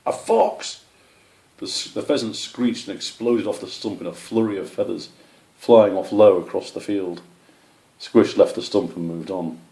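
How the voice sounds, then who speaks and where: A man reading a children's story aloud in an expressive narrating voice. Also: deep voice, moderate pace. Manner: expressive, with character voices for animals. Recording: roomy, echoey sound.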